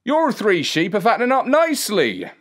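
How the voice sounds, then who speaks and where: A woman's voice: mid-range voice, wildly swooping pitch, normal speed, pitched above the performer's own voice. A woman voicing a folksy country man in a storybook, coaxing someone into a trade. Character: wheedling rustic man trading dogs for sheep.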